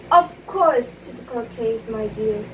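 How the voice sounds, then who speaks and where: Proud, condescending stage diva, played by a woman, formal amateur theatre delivery, distant mic, noisy recording. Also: a little high. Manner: theatrical, haughty, formal diction. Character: proud, poised glamorous stage actress, amused and condescending. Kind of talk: amateur one-act stage play dialogue. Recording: echoey room, distant mic, clear noise.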